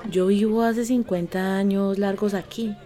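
A woman's voice: mid-range voice, fairly even intonation, a little slow, warm tone, lightly acted. A woman with a Colombian accent playing brusque, combative characters theatrically in a radio play. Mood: indignant. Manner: emphatic, theatrical. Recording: music running under the voice.

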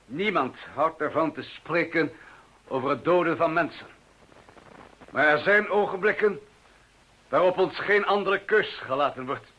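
An actor playing a formal, deliberate arms salesman with quiet deadly intent in a radio play. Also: fairly high pitch. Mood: grave, menacing. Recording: dull old radio recording.

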